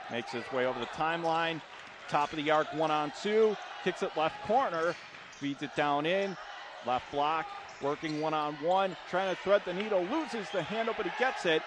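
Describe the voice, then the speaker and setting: A man calling live radio basketball play-by-play in clipped running phrases, punching up the big plays. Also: on the high side. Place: indoor college basketball arena. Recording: noisy courtside gym broadcast. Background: gym noise, bouncing basketball.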